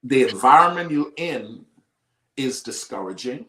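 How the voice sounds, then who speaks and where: A man from the Bahamas with a Bahamian accent, hosting a faith-based conversation and telling stories in a lively preacher cadence. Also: mid-range voice, measured pace, echoey room, low-quality recording. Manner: animated storyteller, preacher cadence. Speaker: host.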